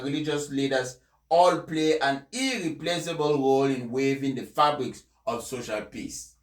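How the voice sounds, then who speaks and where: A man reads a formal speech aloud in a solemn oratorical way, pausing emphatically between short phrases. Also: fairly high pitch, fairly bright tone. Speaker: reader of an English translation of a papal speech. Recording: echoey room.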